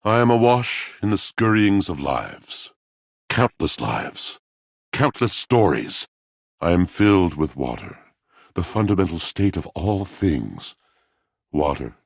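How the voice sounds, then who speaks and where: Super deep gloomy washing machine for a game, raspy, grandiose poet, really swoops around dramatically. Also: male voice, no echo, studio quality, full character voice. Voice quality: gravelly, rumbling voice. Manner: theatrical, florid, pompous, lamenting diction. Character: brooding, melancholy male washing machine, a grandiose wounded poet. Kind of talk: video game character voice acting.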